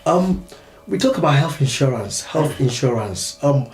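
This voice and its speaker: A man's voice: medium pitch, lively intonation, a little slow, roomy sound, faint music behind, low-quality recording. A man hosting a phone-in talk show, speaking in a friendly way with frequent ums.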